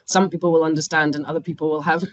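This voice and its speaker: A woman's voice: sits low, little pitch movement, racing, no room sound, highs cut off. A woman with a Scottish accent, a banking expert guest talking casually in a podcast interview and laughing often. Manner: chatty, laughs often, uses fillers.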